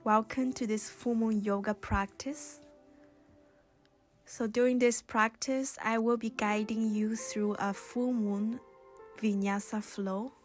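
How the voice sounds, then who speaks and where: Slow, even-toned woman guiding yoga over music. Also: fairly high pitch. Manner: soothing, gentle, unhurried guiding with pauses. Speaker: yoga instructor. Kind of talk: guided yoga and meditation instruction.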